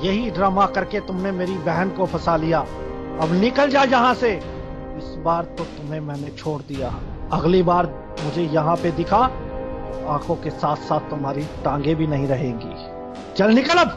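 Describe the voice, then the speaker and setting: An actor playing a domineering older brother who shouts contemptuous threats in a radio play. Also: male voice, fairly high pitch, animated, normal speed, music in the background, natural voice. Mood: enraged. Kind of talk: amateur radio drama acting.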